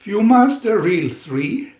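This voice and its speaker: A man reading a storybook aloud as dramatic narration, in a theatrical, deliberate manner with emphatic announcements. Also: high voice, very animated, darkish tone, reverberant, highs cut off, slight background noise. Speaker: reader of picture-reel story booklets.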